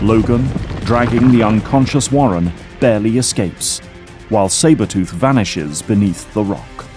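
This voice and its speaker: Lowish-voiced male narrator over music. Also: animated, moderate pace. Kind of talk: dramatic scripted narration. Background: dramatic music.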